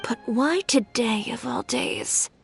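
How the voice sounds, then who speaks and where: A woman's voice: on the high side, slow pace, gravelly, over music, exaggerated voice. A woman voicing a reserved, matter-of-fact young female shop owner in a video game, with a cool, dry manner. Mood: pensive.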